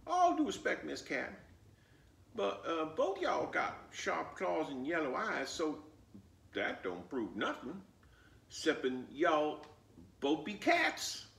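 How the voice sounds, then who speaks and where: Man putting on a sassy high-pitched little country girl. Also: wildly swooping pitch, slow pace, vocal fry, fairly bright tone, exaggerated voice. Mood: unconvinced. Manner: matter-of-fact folksy drawl, skeptical, teasing. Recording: roomy, echoing, distant microphone.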